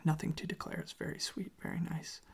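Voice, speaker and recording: An ASMR host speaking in a soft, breathy, husky whisper, rambling casually and gently in an informal, hushed way. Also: a little fast.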